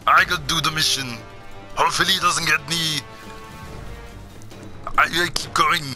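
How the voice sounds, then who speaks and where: Sharp, forceful man doing blustering game commentary. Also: fairly high pitch, steady tone, a little slow, faint music behind. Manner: shouting taunts, blustering, boastful. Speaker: gaming video host. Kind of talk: excitable gameplay commentary in character.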